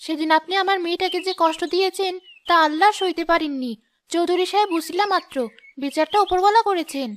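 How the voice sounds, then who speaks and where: A woman's voice: very high voice, fast talker, slight background noise, voice pushed up. A woman voicing a poor village mother in a cartoon, talking in a raised, reproachful, pleading way. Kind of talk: cartoon voice acting.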